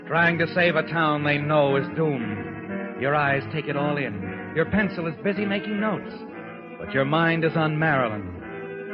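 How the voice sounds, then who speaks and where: An actor playing a sardonic, ominous narrator with a dramatic, insinuating delivery in 1940s radio mystery style. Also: male voice, a little high, natural voice. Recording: lo-fi 1940s radio recording, music behind.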